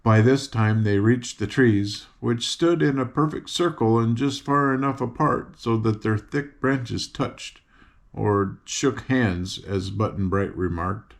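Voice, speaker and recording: Slow-reading grandfather storyteller. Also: lowish voice, vocal fry. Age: older. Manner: slow, gentle read-aloud pacing. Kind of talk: reading a children's book aloud.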